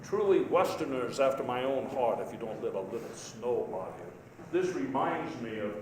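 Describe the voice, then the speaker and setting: A man playing a genial, self-deprecating old statesman who tells stories in a halting, folksy after-dinner speech. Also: mid-range voice, lively intonation, lightly acted. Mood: wry, amused. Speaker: historical reenactor giving a speech. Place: large indoor hall before an audience. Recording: echoey hall, noisy live recording.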